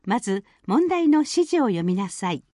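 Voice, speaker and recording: A woman's voice: mid-range voice, big pitch swings, quick pace, warm tone, no room sound. Formal female exam announcer, very dry studio sound. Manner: formal, carefully enunciated. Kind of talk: scripted formal test instructions.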